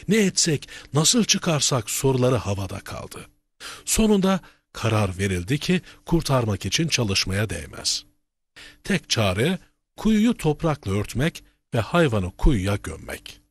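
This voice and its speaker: A man voicing a kindly old grandfather in a children's cartoon, telling tales in a husky, folksy, theatrical way. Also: mid-range voice, dry recording, heavily acted.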